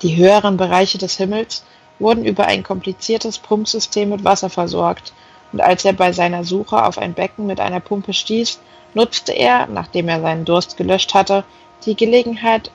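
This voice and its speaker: A woman's voice: mid-range voice, fairly even intonation, normal speed, vocal fry, breathy edge, faint music behind. A woman reading a novel aloud as scripted narration, on a slightly dull recording. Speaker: audiobook reader.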